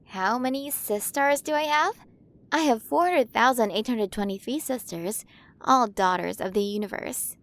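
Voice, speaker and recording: A woman's voice: a little high, normal speed, natural voice. A woman voicing a playful, curious alien queen of a galaxy in an ASMR roleplay, speaking to the listener in a fascinated, coaxing way. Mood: chatty, confiding.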